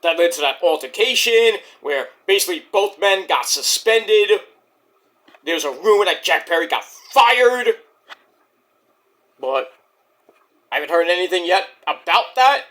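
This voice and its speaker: A man with a high, bright voice talks loosely through a wrestling recap. He rambles, swears freely and jumps into excited bursts. A sore throat is wearing his voice down. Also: measured pace, pauses often. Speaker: wrestling show reviewer. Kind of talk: casual spoken review.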